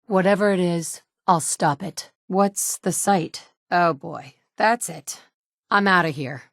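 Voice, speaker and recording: A woman's voice: on the low side, slow, gravelly, no room sound, studio quality. An actress in her thirties playing a blunt, sardonic mother in a game, with clipped one-liners. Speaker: player character. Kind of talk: video game dialogue lines. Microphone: studio mic.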